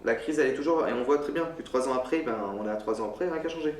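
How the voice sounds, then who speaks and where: A man's voice: medium pitch, very fast. A young man from France giving an interview, insistent and hesitant, with many fillers. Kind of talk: earnest interview testimony. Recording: roomy, distant mic, music behind.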